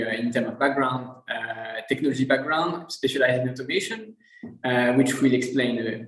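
A man with a French accent speaks in a flat, even tone, pausing often on fillers. The sound carries a noticeable room echo. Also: medium pitch. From France. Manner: hesitant, with many fillers. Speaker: guest, co-founder. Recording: roomy echo.

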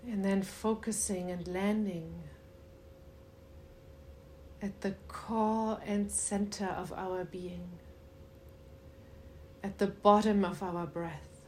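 A woman leading a guided meditation in a soothing, unhurried way with long pauses and a soft voice that turns breathy at times. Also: mid-range voice, steady tone, a little hiss or hum.

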